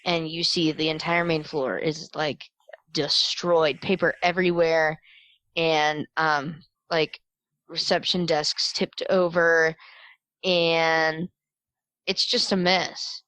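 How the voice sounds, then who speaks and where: A woman with a low-pitched voice narrates a tabletop roleplaying game as game master. She is informal and joking, talking conversationally in a dry, close recording. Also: fairly even intonation, slow, fairly bright tone, no room sound, low-quality recording.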